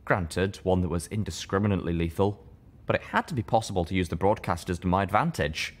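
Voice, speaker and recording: A man with a deep, low voice narrates a story in the first person. He reads from a script in the manner of an audiobook. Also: quick pace. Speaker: fan fiction reader, narrator.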